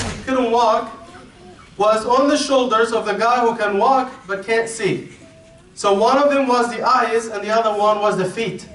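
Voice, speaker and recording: Guy from egypt talking to kids, higher voice, sounds far off in a big echoey hall, little crowd murmur. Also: fairly bright tone, slight background noise. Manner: conversational, repeats points, frequent uh fillers. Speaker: teacher giving a talk to students. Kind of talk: informal religious talk to children. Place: school hall with children. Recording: echoey hall, distant mic, faint crowd.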